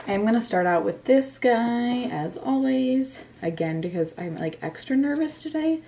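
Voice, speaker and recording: A woman in her twenties chatting casually through a makeup first impression, a little hoarse from being sick, with lots of filler words. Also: on the high side, lively intonation, a little slow, mellow. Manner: chatty, self-deprecating, lots of "like". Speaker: beauty vlogger. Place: bedroom, indoors.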